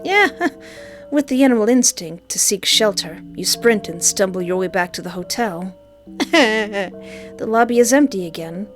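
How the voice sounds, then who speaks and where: A woman reading a visual novel aloud, with playful, animated joking asides between the narration. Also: medium pitch, unhurried, soft background music. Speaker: let's play streamer.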